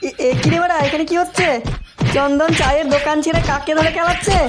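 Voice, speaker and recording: A woman's voice: sits high, steady tone, fast, loud music behind the voice, lightly acted. A woman voicing a bossy, foul-mouthed young village club lad in a cartoon, with a blunt manner. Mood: alarmed, bossy.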